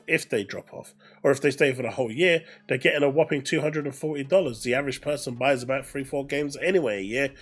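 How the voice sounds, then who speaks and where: Man giving gaming news commentary over music. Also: mid-range voice. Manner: explanatory, repeats phrases for emphasis. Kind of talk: casual commentary, reading an article aloud with asides.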